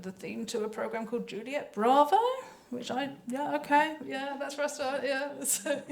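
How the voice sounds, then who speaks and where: A woman's voice: high voice, fairly even intonation, normal speed, creaky. A woman speaking on a panel as a composer and sound designer, chatty, hesitant and often interrupting herself. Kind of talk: panel talk about creative work.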